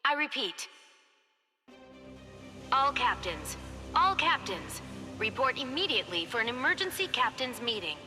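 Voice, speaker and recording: An actress playing an urgent military announcer in an anime game, making formal, insistent public calls. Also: female voice, on the high side, very animated, a little slow, long pauses, over music. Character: urgent female military announcer summoning officers.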